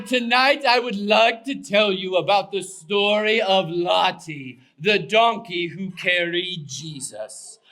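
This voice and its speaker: A man voicing a pompous donkey puppet who tells stories to children in a deliberate, theatrical manner. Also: high-pitched, pushed and bright, heavily acted. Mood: rousing. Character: grandiose weary old-fashioned gentleman donkey storyteller puppet. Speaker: puppet show storyteller.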